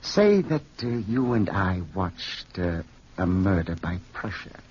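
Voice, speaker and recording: A sinister fat man in an old radio horror play, low and very husky. He is oily and deliberate, savouring murder under a show of friendship. The recording is muffled and dated. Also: very animated, a little mellow, full character voice. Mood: morbid relish. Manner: unctuous, deliberate, falsely friendly.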